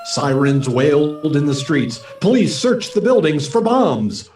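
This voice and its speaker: An actor playing a dramatic announcer narrating a 1940s-style radio serial in a portentous, theatrical manner. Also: male voice, fairly high pitch, expressive, measured pace, darkish tone. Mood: portentous, suspenseful. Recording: distant mic, live remote performance.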